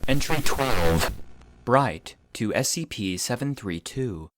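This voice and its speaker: A man's voice: mid-range voice, expressive, takes it slowly, background noise. A man reading scripted fictional case files as a narrator, in a formal, clinical report manner.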